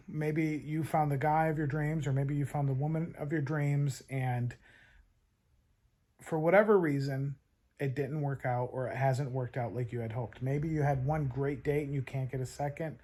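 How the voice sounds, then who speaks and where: A man's voice: on the high side, a little mellow. A man giving dating advice in an earnest, conversational way, often restarting and repeating words. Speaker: dating coach. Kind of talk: advice talk to camera.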